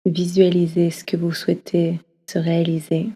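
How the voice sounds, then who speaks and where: A woman leading a guided yoga nidra session, speaking slowly and softly, often slipping into a half-whisper. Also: fairly low pitch, monotone, slightly rough. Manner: slow, soft, often half-whispered delivery. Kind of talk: guided meditation. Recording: long reverb as in a hall.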